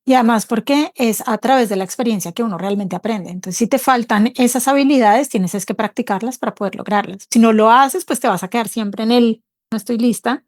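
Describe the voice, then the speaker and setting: A woman's voice: fairly high pitch, brisk, hardly any pauses. A woman hosting an intimate podcast conversation. She talks in a reflective, encouraging way and acts out inner dialogue as quotes. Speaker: podcast host.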